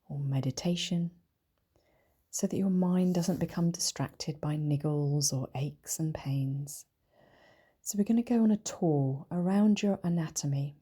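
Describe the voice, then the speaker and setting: A woman guides a meditation in a low, mellow voice. Her tone is soft and breathy, close to a whisper at times. She speaks gently and soothingly, leaving unhurried pauses between phrases. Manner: gentle, soothing, slow and deliberate with long pauses. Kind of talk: guided meditation, body scan.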